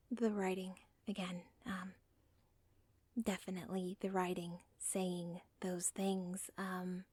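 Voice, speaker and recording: A woman playing a villain's lovestruck, self-aware daughter in an ASMR roleplay, whispering softly with a halting, deliberate, intimate delivery. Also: on the low side, no room sound. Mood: flustered. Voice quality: soft, breathy, whispery.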